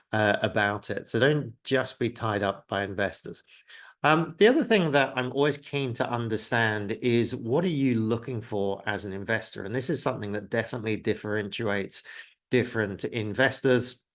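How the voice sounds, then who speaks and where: A man in his forties who grew up in the UK, giving a live webinar presentation in a conversational way with many filler words and a self-deprecating tone. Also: fairly low pitch.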